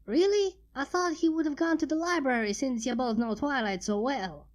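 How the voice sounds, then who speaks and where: A woman's voice: high voice, moderate pace, lightly acted. A woman voicing a friendly young farm-girl pony with a folksy, clipped way of talking. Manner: folksy, dropped g's.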